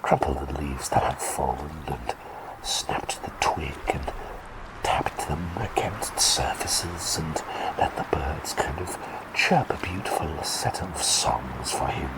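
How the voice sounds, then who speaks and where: A man voicing a quirky, kindly male bird plague doctor in whispered ASMR roleplay, rambling and halting at times, then soft and reassuring. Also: rumbling low, creaky, heavily acted, poor audio.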